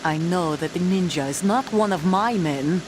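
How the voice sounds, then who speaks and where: A woman's voice: on the low side, normal speed, background noise, close to an everyday voice. Woman acting a bitter, defiant young mercenary. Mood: bitter, threatening. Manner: curt, accusing, threatening. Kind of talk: video game cutscene acting.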